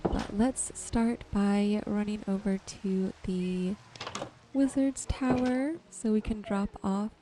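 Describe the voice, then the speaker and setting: A soft-spoken woman giving unhurried ASMR gameplay commentary, musing to herself and her viewers. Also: mid-range voice, background noise. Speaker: gaming streamer and host. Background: music and rain sounds.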